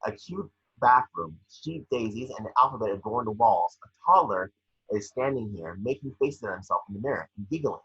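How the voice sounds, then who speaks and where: Male table-read narrator, bright but very husky, scripted stage directions, slightly muffled home recording. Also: lowish voice, animated, fairly quick. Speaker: scene description reader.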